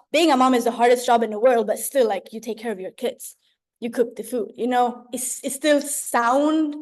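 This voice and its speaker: A young woman. She leads an online training like a mentor among friends, chatty and animated, slipping into slang and often checking in with her listeners. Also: high voice. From Sweden. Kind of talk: informal motivational talk to a group on a video call. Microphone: video call.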